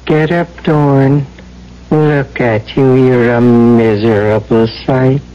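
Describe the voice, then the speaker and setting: A man's voice: medium pitch, steady tone, slow pace, lightly acted. A man playing a mysterious disembodied being in a radio drama, cold, commanding and scornful. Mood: cold, domineering. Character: mysterious commanding voice from inside a typewriter. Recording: dull old radio recording.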